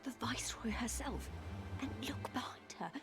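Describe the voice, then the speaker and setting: An actress playing a sharp-tongued young female adventurer who speaks in a hushed, urgent way. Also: on the high side, animated, normal speed, in a whisper. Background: game music.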